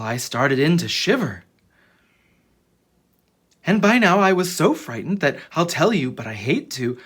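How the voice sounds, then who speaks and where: Husky, expressive children's story reader. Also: medium pitch. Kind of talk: animated children's storybook read-aloud.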